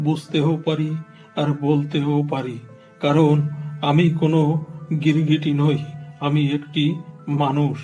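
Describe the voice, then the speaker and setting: A man's voice: fairly high pitch, a little mellow. Man voicing pleading cursed king in lizard form. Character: cursed king turned chameleon, pleading, humble, devout. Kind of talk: cartoon voice acting.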